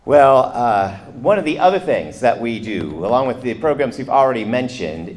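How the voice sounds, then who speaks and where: Loud, playful male emcee. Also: medium pitch. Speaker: chamber event emcee. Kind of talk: joking emcee remarks. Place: event room at a college.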